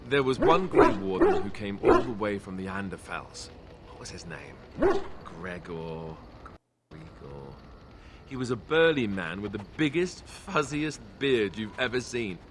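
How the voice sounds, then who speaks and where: An actor playing an affable, boyish young warrior who talks in a conversational, sentimental way in a video game. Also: male voice, on the low side, big pitch swings, a little slow, heavy background noise. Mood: amused, nostalgic. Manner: conversational, self-interrupting storyteller. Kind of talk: video game dialogue acting.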